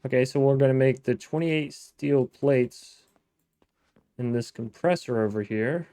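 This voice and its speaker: A man's voice: mid-range voice, unhurried, mellow, no echo. A man hosting a gameplay video, talking casually to himself as he plays, thinking aloud with restarts. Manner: chatty, thinking aloud, frequent restarts and self-talk. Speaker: gaming let's-play host.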